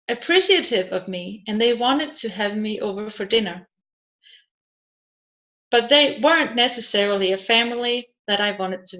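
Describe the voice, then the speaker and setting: A woman reading scripted nonfiction narration aloud in a measured way, as an audiobook reader working through questions and answers. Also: fairly high pitch, a bit bright, rough recording.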